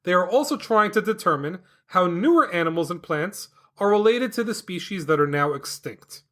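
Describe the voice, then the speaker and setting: Lively, bright-voiced male kids' podcast host. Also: high-pitched, normal speed. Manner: enthusiastic, sing-song delivery. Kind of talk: upbeat educational explanation for kids.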